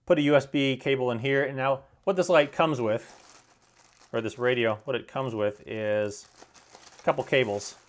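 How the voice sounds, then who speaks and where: A man giving an unscripted product demonstration in a plain-spoken, explanatory way, sometimes halting to correct himself. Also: mid-range voice, fairly even intonation. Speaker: product reviewer.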